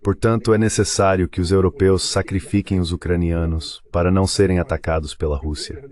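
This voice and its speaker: A man with a Brazilian Portuguese accent reading translated political commentary as a voice-over in a measured, formal, articulate way. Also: sits low, fairly even intonation, a little mellow. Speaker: dubbed translation voice of an interview guest.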